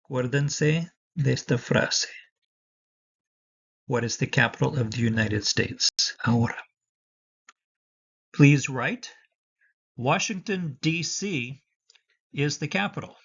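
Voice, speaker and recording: A man's voice: medium pitch, slow, gravelly, long pauses, fairly bright tone, slightly rough, highs cut off. A man teaching a lesson for the citizenship test, dictating patiently and switching between Spanish and English.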